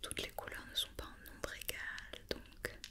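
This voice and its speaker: Whispering woman, ASMR doctor roleplay. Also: unhurried. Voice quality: soft breathy whisper. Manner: patient, methodical, repeating instructions and questions. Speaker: ASMR performer playing a doctor examining a robot.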